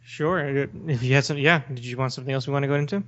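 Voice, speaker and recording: A lo-fi, slightly muffled recording of a man with a mid-pitched voice. He talks casually as a podcast co-host, arguing his opinions as he explains them. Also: rapid-fire, fairly bright tone. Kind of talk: casual discussion of video games. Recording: dull, lo-fi voice recording.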